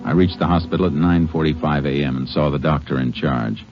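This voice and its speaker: An actor playing a steady, laconic Texas Ranger in a 1950s radio drama, speaking with understated, gentle persistence. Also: male voice, very deep voice, fairly quick. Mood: sober narration. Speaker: lead actor and first-person narrator. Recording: dull old radio recording, music behind.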